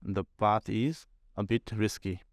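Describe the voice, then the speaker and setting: A man's voice: lowish voice, fairly even intonation, a little slow, dry recording. A man with a Nepali accent answering conversationally in an interview, explaining things and often rephrasing as he goes. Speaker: air traffic controller, podcast guest.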